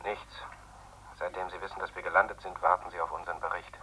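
A man's voice: deep voice, flat delivery, fast talker, sharp tone, slightly rough, heavily acted, rough recording. An actor playing a sardonic, worried astronaut who monitors his partner in a German science-fiction radio play, speaking in a dry, sceptical, pressing way. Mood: businesslike.

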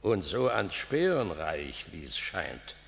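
Deep, gravelly city elder. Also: male voice, very animated, slow pace, fairly bright tone, exaggerated voice. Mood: reproachful. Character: reproachful male elder of the city chorus. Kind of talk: stage-like verse radio drama. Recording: long hall reverb.

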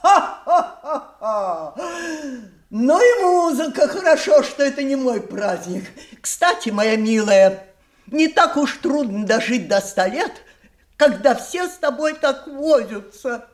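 An actress playing a spiteful, sour old spinster in a radio play. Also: female voice, high-pitched, lively intonation, slow, roomy sound. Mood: mocking. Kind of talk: theatrical radio play acting.

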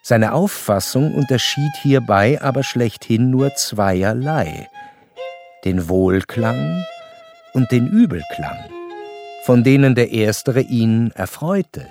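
An actor playing an educated, observant narrator in a literary radio play, speaking in a measured, precise and wry way. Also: male voice, mid-range voice, lively intonation, darkish tone. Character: educated, curious male narrator, observant and wryly detached. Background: violin music.

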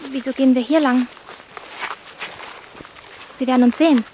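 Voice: high voice, fairly even intonation, moderate pace, mellow, a little hiss or hum, close to an everyday voice. A young performer playing an anxious, earnest thirteen-year-old village girl, worried and insistent, in a radio drama.

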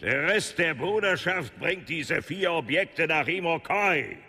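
An actor playing a cool, calculating conspirator in a fantasy radio drama, with a commanding, sardonic manner. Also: male voice, fairly high pitch, expressive, takes it slowly, pushed and bright, breathy edge, heavily acted.

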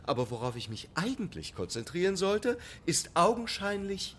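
An actor playing an imperious, sarcastic playwright with biting mock politeness in a German radio play. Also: male voice, sits high, big pitch swings, normal speed, a bit bright, a little hiss or hum. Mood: sarcastic indignation. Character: imperious, sarcastic male playwright with theatrical temper.

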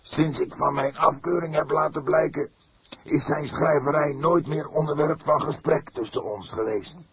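A man's voice: on the high side, steady tone, normal speed, voice pushed up, natural voice. A man voicing an indignant, solemn father of the church in an audiobook, speaking with emphatic, formal deliberation. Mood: guarded, solemn. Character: fierce, pained elderly pastor, indignant and solemn. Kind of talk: audiobook character voice.

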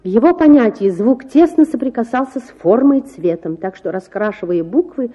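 A woman's voice: fairly high pitch, lively intonation, normal speed, slightly rough. Woman storyteller, slightly dull recording. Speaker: audiobook narrator. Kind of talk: expressive scripted narration of a children's story.

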